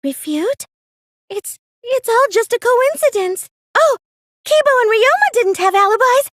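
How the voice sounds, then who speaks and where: A very high, girlish anime game voice for a plain, earnest teenage girl, recorded close and dry. She comes across as meek and innocent, with a schemer hidden underneath. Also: animated, unhurried, a bit bright, no echo, exaggerated voice. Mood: defensive, flustered. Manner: hesitant and stammering when pressed, earnest, girlish. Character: meek, plain teenage girl cosplayer, secretly a gleeful mastermind. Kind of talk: anime video game dub acting.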